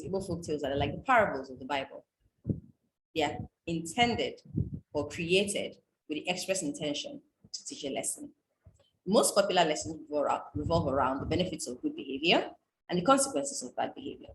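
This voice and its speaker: A woman from Nigeria, reading a prepared talk on folktales in a measured, explanatory way. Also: lowish voice. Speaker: writer presenting on folktales. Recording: echoey room with background noise.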